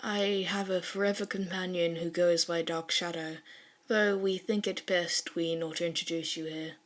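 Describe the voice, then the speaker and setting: A woman's voice: fairly low pitch, steady tone, normal speed, lightly acted. A woman voicing a solemn teenage boy who speaks in grand, archaic, formal phrases in an audio fan story.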